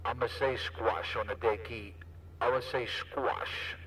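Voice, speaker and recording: A man's voice: medium pitch, steady tone, a little slow, very noisy. A man chatting casually as a CB radio operator, with repetitive, slangy patter. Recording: distant CB radio transmission.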